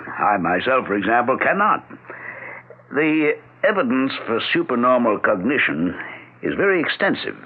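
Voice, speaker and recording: An actor playing an assured, learned doctor in a 1970s radio drama, speaking with precise, courteous, pedantic care. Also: male voice, lowish voice, slightly rough, full character voice. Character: assured, learned male doctor who believes in telepathy. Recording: muffled, narrow-band 1970s radio recording.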